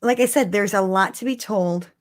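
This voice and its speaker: A woman talks as a podcast co-host in an informal, chatty style. She works through her thoughts out loud and leans on key words for emphasis. Her pitch sits in an average range for a woman. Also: normal speed. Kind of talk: casual fan discussion.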